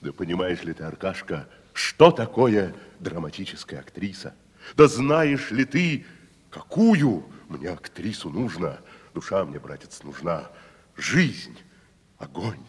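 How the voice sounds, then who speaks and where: An actor playing a proud, shabby middle-aged tragedian in a radio play, speaking pompously with declamatory dramatic pauses. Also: male voice, low-pitched, very animated, breathy edge, full character voice. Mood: stern, impassioned. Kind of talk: 1970s theatrical radio play acting. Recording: reverberant radio drama with faint music.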